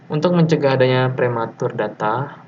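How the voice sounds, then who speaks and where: A man gives an informal lecture presentation over slides, explaining technical material and often pausing mid-sentence. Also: mid-range voice. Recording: dull lo-fi audio with faint noise.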